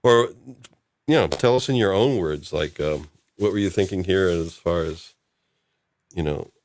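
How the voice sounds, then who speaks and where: A man's voice: deep voice, a little slow, darkish tone, no room sound. A man hosting a casual podcast discussion, talking in an informal, rambling way. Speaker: host.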